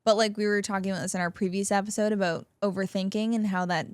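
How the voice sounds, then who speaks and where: A woman in her twenties co-hosts a podcast in an easygoing, thoughtful chat and softens her points with "I think." Her voice sits at a middle pitch, recorded dry and close. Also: fast, dry recording. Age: 20s. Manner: relaxed, reflective, hedges with "I think". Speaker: podcast co-host. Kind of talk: casual chat.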